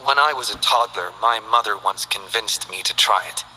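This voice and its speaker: An actor playing a calm, reflective male scholar who speaks in a measured, precise way in game character voice lines. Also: fairly low pitch, slight background noise, low-quality recording. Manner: measured, thoughtful, precise delivery.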